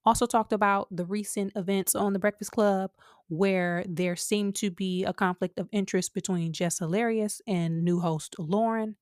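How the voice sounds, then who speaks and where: A woman's voice: mid-range voice, fairly even intonation, normal speed, darkish tone, dry recording, studio quality. An American woman hosting a podcast, giving casual, opinionated commentary in an emphatic, colloquial way, speaking straight to her listeners. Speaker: podcast host.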